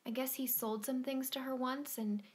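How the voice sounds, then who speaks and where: An actress playing a guarded, probing woman in a stage drama, with measured delivery. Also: high voice, fairly even intonation, close to an everyday voice. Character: guarded, probing woman hiding a dark secret.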